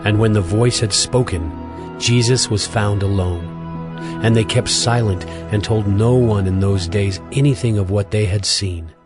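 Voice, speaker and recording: A man narrating scripture from a script as a measured storyteller. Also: fairly low pitch. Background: music.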